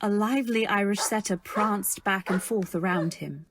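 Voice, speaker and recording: A woman playing a dreamy, naive young newlywed, narrating in measured phrases in an old-time radio drama style. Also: mid-range voice, background noise, natural voice. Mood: wistful, dreamy. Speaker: narrator and lead. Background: faint music.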